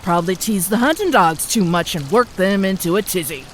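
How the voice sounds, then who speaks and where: A woman's voice: medium pitch, animated, brisk, close to an everyday voice. A woman playing a stern, folksy frontier mother who scolds, in an audio drama. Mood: stern, scolding. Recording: rain and water noise behind.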